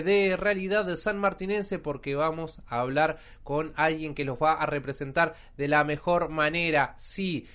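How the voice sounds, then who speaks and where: Upbeat Argentine radio host. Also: male voice, high voice, a bit bright. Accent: Argentine Spanish. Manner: upbeat announcer, frequent "eh" fillers. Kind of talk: live radio presenting.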